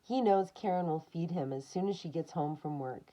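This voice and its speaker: A woman's voice: sits low, normal speed, warm tone, poor audio. A woman reading a picture book aloud to children, expressively, with short asides of her own.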